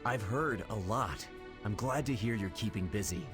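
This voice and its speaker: Man playing a deep, hearty, genial general. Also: normal speed, lightly acted. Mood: jovial, welcoming. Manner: jovial, teasing, courteous. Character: hearty genial male general escorting an honored guest. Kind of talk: video game cutscene acting. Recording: loud orchestral music behind.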